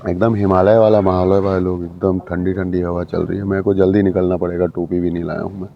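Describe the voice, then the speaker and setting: A man's voice: low voice, flat delivery, fast talker, vocal fry. An Indian man talking casually and informally to his viewers as a motorcycle travel vlogger, recorded outdoors. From rural India. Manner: informal, addresses viewers as brothers. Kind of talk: casual vlog talk to viewers. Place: outdoors, village roads and roadside eatery. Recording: muffled, dull sound with outdoor noise.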